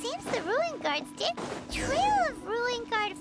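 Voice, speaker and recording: A tiny fairy girl chirps in a squeaky, extremely high voice that bounces all over. She is cheeky and cutesy, an anime game companion, with loud game music playing behind her. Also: very animated, slow pace, heavily acted. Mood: observant. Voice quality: squeaky, childlike. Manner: perky, cutesy. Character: small floating fairy companion girl, chirpy, cheeky, talks of herself by name.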